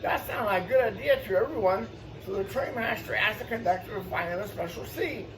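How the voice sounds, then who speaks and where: A man's voice: high voice, a little fast, a crowd in the background. A man hosting storytime, reading a children's picture book aloud with exclamations and explanatory asides for young listeners. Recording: distant mic, faint background noise.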